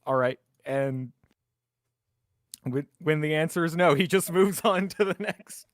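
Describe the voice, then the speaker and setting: A man's voice: fairly high pitch, a little slow, no room sound, clean studio sound. A man playing a tabletop roleplaying game, chatting casually and jokingly about his character.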